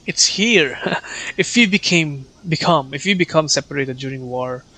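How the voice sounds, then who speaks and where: A man's voice: a little high, expressive, moderate pace, pushed and bright, poor audio. A man with a Filipino accent and Tagalog as first language, chatting casually and commenting as a game streamer. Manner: reads game dialogue aloud, mixes two languages. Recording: game music behind the voice.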